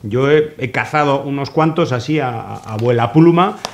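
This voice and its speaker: A man's voice: mid-range voice, normal speed, slight background noise. A middle-aged man, hosting a political commentary chat in a sarcastic, mocking manner from a small office studio. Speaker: host.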